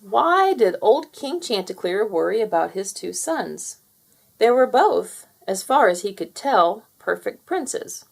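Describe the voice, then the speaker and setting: A woman reading a children's picture book aloud in an expressive storytelling manner. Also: mid-range voice, takes it slowly, vocal fry, slightly rough. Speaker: storybook reader. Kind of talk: children's read-aloud narration.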